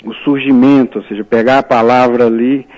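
A male writer speaks as a guest on a radio show, his voice at an ordinary pitch for a man. He talks thoughtfully and hesitantly, with lots of fillers and restarts. The recording sounds dull and lo-fi, like an old radio broadcast. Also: level tone, fairly quick, a little mellow. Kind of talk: radio interview.

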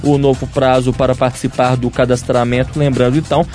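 A man reads a university radio news bulletin from a script. His delivery is clear, steady and announcer-like, with faint music behind him. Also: mid-range voice, fairly quick.

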